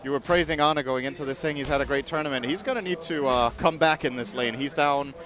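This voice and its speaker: A man giving measured, analytical color commentary on an esports match. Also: a little high, a crowd in the background. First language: English. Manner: measured, explanatory.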